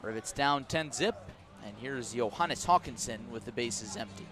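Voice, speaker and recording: An American man calling a baseball game live on the radio, describing play in measured, short clipped phrases. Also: mid-range voice, lively intonation. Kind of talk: live radio sports commentary.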